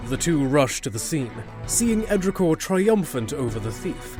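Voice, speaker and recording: A man's voice: a little high, sing-song, a little slow. A man narrating a scripted fantasy audio drama, with music underneath.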